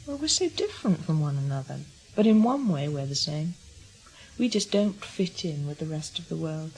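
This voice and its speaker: A woman's voice: sits low, animated, normal speed, darkish tone, poor audio. An actress playing a candid, earnest woman, a spy's reflective lover, in an intimate radio drama scene. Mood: wistful. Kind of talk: naturalistic radio drama acting.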